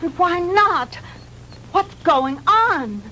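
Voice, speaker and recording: An actress playing a frightened, bewildered, high-strung young woman in a 1960s low-budget film. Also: animated, very slow, pushed and bright, full character voice. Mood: rising hysteria. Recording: noisy old 1960s film soundtrack.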